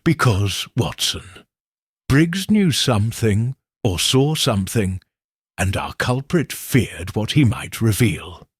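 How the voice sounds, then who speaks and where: A man voicing a calm, incisive middle-aged detective who speaks in a measured, assured way. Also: medium pitch, husky, no room sound, studio quality, full character voice. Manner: measured, deliberate, quietly assured.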